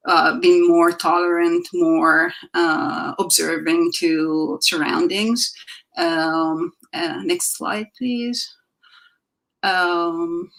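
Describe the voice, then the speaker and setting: A middle-aged woman from Egypt whose first language is Arabic, giving a halting artist talk over slides, full of fillers and restarts. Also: on the low side, creaky, fairly bright tone, low-quality recording. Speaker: guest artist.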